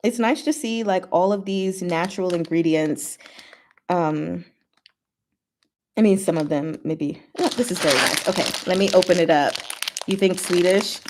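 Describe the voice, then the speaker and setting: A woman's voice: fairly low pitch, normal speed, darkish tone, a little hiss or hum. A woman hosting a casual livestream, chatting with viewers in short, giggly asides. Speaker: livestream host.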